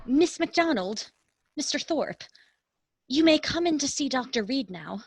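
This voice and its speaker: A woman's voice: high voice, lively intonation, measured pace, noisy background. An actress in a radio play, playing a concerned, formal woman who is polite but urgent.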